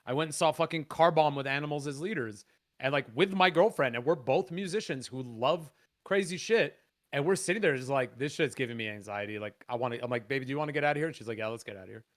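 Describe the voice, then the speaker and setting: Raspy-voiced man from Canada, animated. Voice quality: raspy, low voice. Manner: animated, swears freely, quick excited bursts. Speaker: guest musician. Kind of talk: casual podcast chat.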